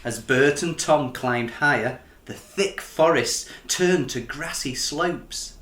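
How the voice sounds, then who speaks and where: A man narrating a children's picture book in an expressive storytelling style, as the author reading aloud. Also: fairly high pitch, slow, echoey room.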